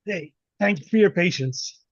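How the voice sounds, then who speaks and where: A man in his forties hosting a podcast, talking in a relaxed, chatty way from home over a remote call. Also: sits high, normal speed, dry recording. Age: 40s. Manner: relaxed, chatty, laughs easily. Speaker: podcast host. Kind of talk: casual conversation. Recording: dry, dull lo-fi call audio.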